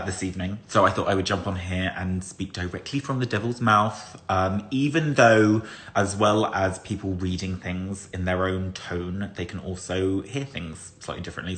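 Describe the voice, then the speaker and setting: A man with a very low voice gives a direct personal statement to followers. He chooses formal words and pauses often, keeping a measured delivery. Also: fairly bright tone.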